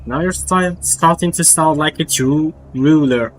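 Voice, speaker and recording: A man's voice: a little high, a little slow, a little hiss or hum. A man streaming a game and reacting live in a casual, informal, slangy way. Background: game music.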